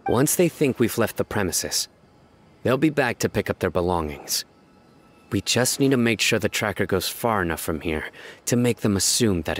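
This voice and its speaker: A man playing a stern, calm, analytical desert enforcer in a video game, speaking in a measured, serious, deliberate way. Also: fairly low pitch, a little hiss or hum, natural voice. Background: music.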